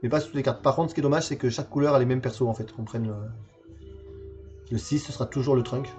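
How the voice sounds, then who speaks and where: A man's voice: mid-range voice, steady tone, fast talker, darkish tone, soft background music. A man in his forties chatting casually on a livestream while showing games from his collection, hesitant and full of fillers and repeated words. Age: 40s. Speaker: streamer presenting a game collection.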